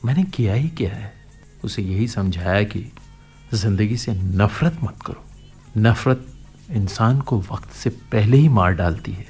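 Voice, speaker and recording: An actor playing a kindly, earnest older man in a radio play, gentle and fatherly in manner. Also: on the low side, a little slow, breathy edge, soft background music. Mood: earnest, impassioned. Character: kindly, earnest elderly postman uncle. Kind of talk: Hindi radio play acting.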